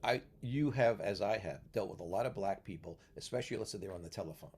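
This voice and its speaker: A man from New York with a low voice holds forth in a relaxed podcast conversation. He explains at length like a teacher and keeps tagging his points with "okay?". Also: quick pace, slightly rough. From New York, US Northeast. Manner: lecturing, tags points with "okay?". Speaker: podcast guest, mentor. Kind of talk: casual chat.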